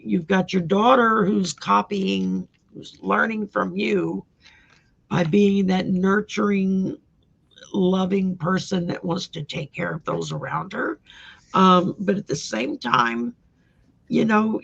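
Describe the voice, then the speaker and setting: Gravelly husky Cajun woman. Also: on the low side, highs cut off. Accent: Cajun Louisiana. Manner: chatty, folksy, frequent ums and laughs. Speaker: host and tarot reader. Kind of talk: casual live-stream tarot reading.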